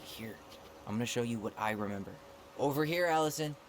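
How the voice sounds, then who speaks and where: A young man with a medium-pitched voice, blunt and sardonic , played by an actor. He sounds like natural video game dialogue over clear background noise. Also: expressive, a little fast, natural voice. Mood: insistent.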